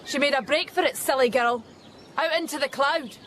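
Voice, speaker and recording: Creepy alien little girl, high voice, glib n taunting, radio drama with noisy background. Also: normal speed, fairly bright tone. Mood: breezy, taunting. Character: eerie alien replica of a child, coolly menacing daughter.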